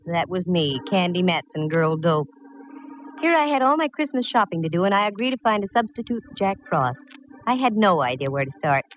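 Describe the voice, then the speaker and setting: A woman's voice: medium pitch, natural voice. An actress playing a breezy, wisecracking female private eye in 1940s radio style. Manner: wry, flip. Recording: muffled, faintly hissy old radio recording.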